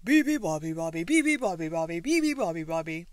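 Woman doing loud, roaring zoo animals. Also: low-pitched, lively intonation, slow pace, smooth, no echo, full character voice. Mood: loud, mischievous. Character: big roaring zoo animals, cross and sleepy.

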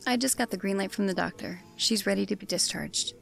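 A woman playing a brisk, considerate helper in an audio drama, with a gravelly voice. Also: mid-range voice, faint music behind.

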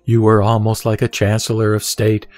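A man reading the words of the Buddha as a calm, wise teacher, in a measured and solemn scripted recitation. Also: lowish voice, no room sound, faint music behind, close to an everyday voice.

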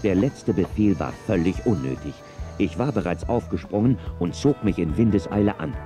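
Low, mellow sheriff narrator. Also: male voice, expressive, normal speed, faint music behind. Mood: reflective. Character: weary, wry Wild West sheriff telling his story. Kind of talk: scripted first-person narration, radio play.

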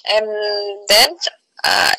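A woman's voice: drawn-out words, bright, forceful tone, dry recording. A woman from Indonesia with an Indonesian accent, chatting on a video call in hesitant learner English with fillers. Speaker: guest. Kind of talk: casual video call chat.

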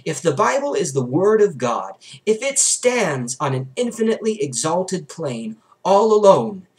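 A man's voice: high-pitched, big pitch swings, unhurried, darkish tone. A young adult man reads a religious book aloud in a formal, emphatic manner, recorded in a small bedroom on a desk camera. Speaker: book reader.

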